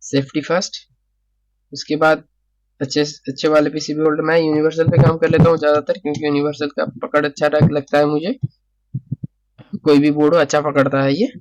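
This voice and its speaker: A man's voice: on the high side, a little mellow, no room sound, muffled recording. A man talking through a repair tutorial as a technician, casually explaining, repeating phrases, checking in often and mixing in English technical terms.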